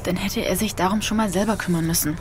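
A woman's voice: mid-range voice, fairly even intonation, quick pace, close to an everyday voice. A woman playing a hurt, guarded young daughter in an audio drama, terse and understated. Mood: resigned. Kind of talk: naturalistic audio drama acting. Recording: clear vehicle noise behind.

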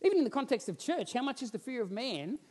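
A man, preaching a sermon on a church stage and telling stories with lively gestures while he walks about. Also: very high-pitched, quick pace, breathy edge. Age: 40s. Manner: animated storytelling, roams the stage gesturing. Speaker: pastor. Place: church stage with band instruments, indoors.

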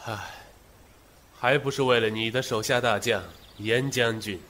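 An actor playing a grave, concerned ally in a Chinese period audio drama, earnest and measured. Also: male voice, medium pitch, steady tone, a bit bright, a little hiss or hum, close to an everyday voice. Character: grave, concerned male ally bringing intelligence.

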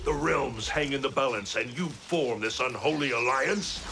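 A man's voice: mid-range voice, normal speed, gravelly, very noisy, close to an everyday voice. A man playing a stern, accusing thunder god. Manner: commanding, accusing. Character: stern male thunder god, distrustful, angry. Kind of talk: video game cutscene acting. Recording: music score underneath.

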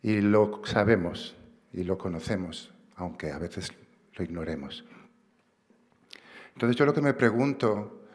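Man, 50s, lowish voice, measured and reflective acceptance speech, long hall reverb. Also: a little mellow. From Spain. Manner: reflective, measured, gestures while speaking. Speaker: award recipient. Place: large theatre stage. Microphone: podium microphone.

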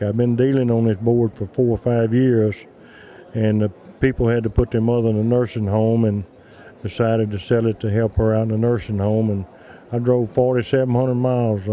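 Mellow older man telling stories. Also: medium pitch. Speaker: museum representative interviewed. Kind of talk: storytelling interview answers. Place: large indoor trade show hall. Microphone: handheld interview microphone.

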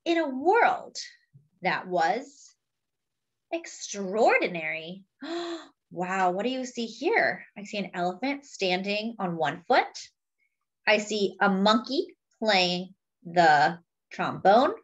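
A woman reading a picture book aloud to young children and commenting on the pictures, expressively and with pauses for emphasis. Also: mid-range voice, sing-song, highs cut off. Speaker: children's storybook reader.

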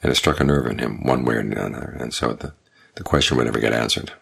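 An older man, a Buddhist monk, giving a calm, measured evening Dhamma talk with unhurried pauses. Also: extremely low pitch, vocal fry, breathy edge. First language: English.

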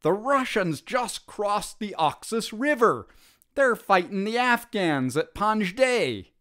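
A man voicing an uneducated, wary British soldier with rough grammar in a storybook reading. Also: high voice, sing-song, takes it slowly, fairly bright tone, voice pushed up, exaggerated voice. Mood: urgent. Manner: rough ungrammatical speech.

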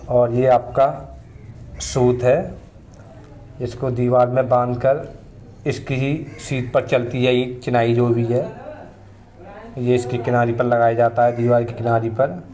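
A man in his thirties talks through his mason's tools in a plain, unhurried way, his voice staying level with little rise and fall. It sounds like an outdoor phone recording with faint background noise. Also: mid-range voice, level tone, dark tone. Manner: plain, unhurried explaining, pointing at each tool in turn. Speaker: mason and channel host. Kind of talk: casual tool demonstration. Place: paved courtyard outdoors, tools laid on the ground.

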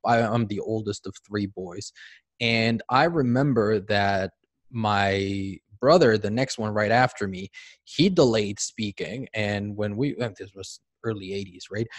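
A man's voice: on the low side, dry recording. Mexican-accented man hosting, dry sound. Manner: chatty, with repeated restarts. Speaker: podcast host. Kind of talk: interview on a video call. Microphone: microphone on a stand.

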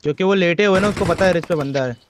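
A man's voice: high voice, racing, background noise. A man making casual callouts to his squad during a game. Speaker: squad teammate.

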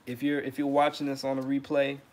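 A man's voice: medium pitch, brisk. Man in his thirties, laid-back livestream chat, repeats himself, ums a lot, home webcam mic. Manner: relaxed and friendly, repeats words, many fillers. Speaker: trumpet teacher and livestream host. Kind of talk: casual livestream talk. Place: living room at home.

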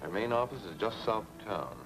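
A man's voice: fairly low pitch, animated, fairly quick, over music, lightly acted, poor audio. A man playing a helpful, matter-of-fact power company official in a 1940s film serial, on an old soundtrack.

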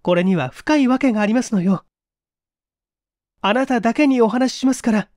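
A man's voice: very high voice, darkish tone, dry recording, clean studio sound, pitched above the performer's own voice. A man voicing a bold, secretive young woman who speaks politely and hurriedly in an audiobook. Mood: urgent pleading. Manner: hurried, polite feminine speech. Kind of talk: audiobook character acting.

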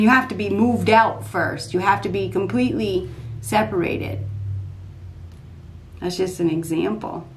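A woman in her fifties giving an unscripted tarot reading at a desk in a small dark room, repeating herself emphatically as she thinks aloud. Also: mid-range voice. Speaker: tarot reader.

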